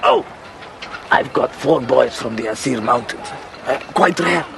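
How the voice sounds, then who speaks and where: An actor playing a shifty, wheedling male slave dealer with halting sales patter in an audio drama. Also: medium pitch, animated, creaky, background noise. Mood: wheedling, oily. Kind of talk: radio drama acting. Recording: busy outdoor market crowd. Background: crowd.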